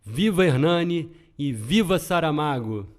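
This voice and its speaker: A middle-aged man with a Brazilian accent, hosting a podcast in an earnest, measured reading style. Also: a little high, expressive. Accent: Brazilian Portuguese. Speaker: podcast host. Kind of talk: scripted podcast narration.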